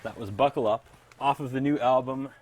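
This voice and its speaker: A man's voice: medium pitch, fairly even intonation, fairly quick, a little mellow, slight background noise. A man hosting a music show, chatting casually and enthusiastically with a guest. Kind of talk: casual interview chat.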